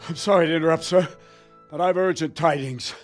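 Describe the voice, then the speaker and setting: An actor playing a dutiful medieval guard captain in a video game, serious and deferential in manner. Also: male voice, on the high side, a little fast, soft background music, lightly acted. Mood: grave. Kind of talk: video game cutscene acting.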